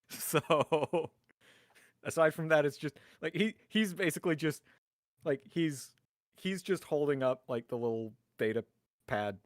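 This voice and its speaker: A man's voice: mid-range voice, no echo, clean studio sound. A man playing a tabletop roleplaying game, chatting casually and jokingly about his character.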